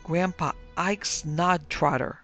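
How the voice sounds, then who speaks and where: A man's voice: on the high side, steady tone, slow, bright, forceful tone, dull audio, faint music behind, heavily acted. A man voicing a nervous, anxious lady at a seance in a storybook, quavering and gasping.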